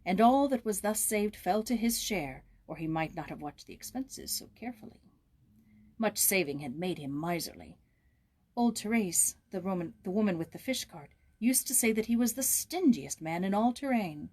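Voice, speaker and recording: A woman's voice: medium pitch, animated, moderate pace, darkish tone. A woman reading a storybook aloud as host, with casual asides.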